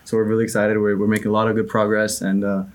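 A man's voice: lowish voice, monotone, fast talker. A man in his twenties chatting casually as a podcast guest, often repeating words as he goes. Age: 20s. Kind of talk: casual chat.

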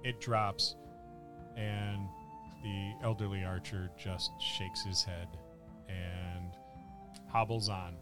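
A man working as a game master, improvising halting, descriptive narration of a tabletop fantasy battle. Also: sits low, steady tone, over music.

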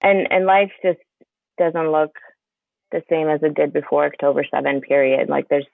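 A woman's voice: low-pitched, vocal fry, no echo. Hesitant woman from Palestine, interview guest, dry close sound. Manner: hesitant, halting, with many fillers and restarts. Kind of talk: conversational interview. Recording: tinny phone-like line.

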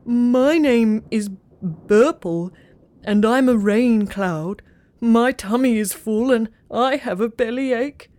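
A woman voicing a shy, polite young male rain cloud with a bellyache, who pleads and apologizes in drawn-out words. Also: fairly high pitch, darkish tone. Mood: polite, anxious. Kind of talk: storybook character voice.

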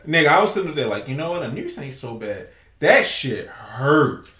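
A man hosting a casual podcast, talking in a loud, profane, joking way. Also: medium pitch, big pitch swings, normal speed, reverberant. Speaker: podcast host.

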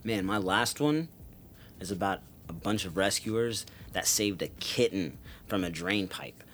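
A man co-hosting a casual podcast, laid-back, often pausing with "um" and laughing. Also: deep voice, expressive, a bit bright, slight background noise. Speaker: podcast co-host.

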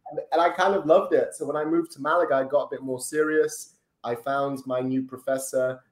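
Brazilian-born man, relaxed guest, roomy echoey sound. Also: mid-range voice, brisk. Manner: relaxed, chatty, many ums and tag questions. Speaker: podcast guest. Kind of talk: casual interview chat. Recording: roomy echo.

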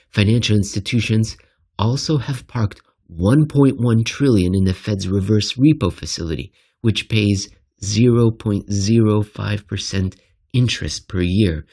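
A man reading a scripted financial essay aloud with emphatic, deliberate phrasing and a wry edge. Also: lowish voice, creaky, warm tone, husky.

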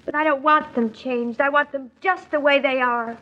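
An actress playing a naive, talkative young expectant wife in an old-time radio drama, artless and questioning. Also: female voice, high-pitched, fairly even intonation, moderate pace, a little hiss or hum, close to an everyday voice. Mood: anxious, wistful. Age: young adult. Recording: dull, lo-fi old radio recording.

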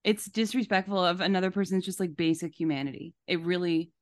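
A woman's voice: fairly low pitch, fairly even intonation, fast, no echo. A woman hosting a podcast, giving casual, conversational commentary. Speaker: podcast host.

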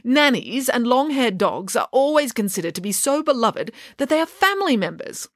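Storybook pig nanny, female, haughty and self-assured, pompous delivery, lively intonation; performed by a woman reader. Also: fairly high pitch, normal speed, no room sound, clean studio sound, voice pushed up. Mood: indignant. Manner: emphatic, pompous declarations.

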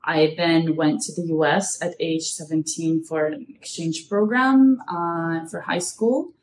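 A woman's voice: low-pitched, a little slow. A young woman from Russia giving conversational answers as a podcast guest about her professional life. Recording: echoey room, faint background noise.

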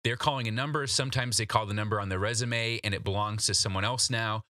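A man's voice: fairly low pitch, fairly even intonation, fast, pushed and bright, no echo, clean studio sound. A man hosting an instructional solo podcast, coaching the listener in a direct, practical, conversational way. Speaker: podcast host giving job interview advice.

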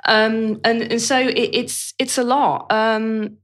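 A woman's voice: on the high side, little pitch movement, slow pace. A British woman telling her own career story as an interview guest in a candid, colloquial and self-deprecating way. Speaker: interview guest, business owner. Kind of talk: conversational personal career story.

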